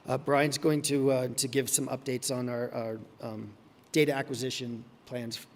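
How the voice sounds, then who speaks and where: A man giving a conference talk, hoarse and losing his voice, halting with many ums and restarts. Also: mid-range voice, level tone, in a large hall. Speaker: conference presenter. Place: conference hall.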